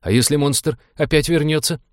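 A man's voice: mid-range voice, animated, brisk, no echo, clean studio sound, voice pushed up, natural voice. A man voicing a fearful, defensive young navigator who whines plaintively in an audiobook. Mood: pleading. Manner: plaintive, whining, self-justifying.

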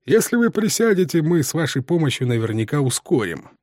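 A self-important senior police inspector played by a male reader in an audiobook. He is formal and insistent, with widely swinging pitch in a dry, close recording. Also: big pitch swings, fairly quick, darkish tone, dry recording. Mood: officious, placating. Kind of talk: dramatised audiobook dialogue.

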